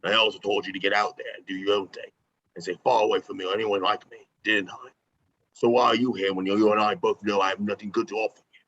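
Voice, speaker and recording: A man's voice: lowish voice, little pitch movement, fast, a bit bright, dull audio, a little hiss or hum, close to an everyday voice. A man acting a bitter, foul-mouthed jailed hitman and reluctant father in an amateur script read, combative and blunt. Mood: bitter, resigned.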